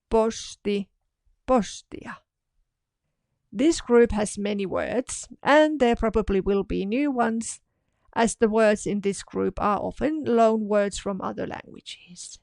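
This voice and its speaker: A woman narrates a scripted language lesson, saying each example word twice, slowly and deliberately. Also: medium pitch, vocal fry, darkish tone, no echo, studio quality. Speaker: language teacher.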